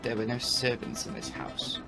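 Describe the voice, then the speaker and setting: A man giving a dramatic audiobook reading of a classic novel, with expressive, deliberate, theatrical phrasing. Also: on the low side, creaky, loud music behind the voice. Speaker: audiobook narrator and channel host.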